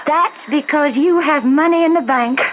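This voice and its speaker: A high-voiced rustic older mother, acted by a woman in a vintage radio play. She is plain-spoken and countrified. The recording is narrow and tinny, with distinct noise. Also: moderate pace, close to an everyday voice. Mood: brisk, teasing. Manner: folksy, rustic turns of phrase. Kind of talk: old-time radio drama acting. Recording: thin, noisy old radio recording.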